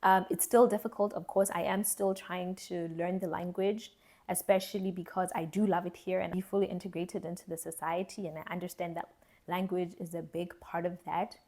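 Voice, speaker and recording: A young woman from South Africa giving a candid, reflective vlog monologue, earnest and thoughtful, often pausing and restarting her words. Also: fairly low pitch, flat delivery, a little mellow. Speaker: vlogger.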